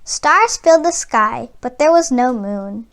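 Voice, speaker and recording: A woman reading a children's story aloud as scripted, expressive narration. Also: high voice, a little slow. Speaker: storybook reader.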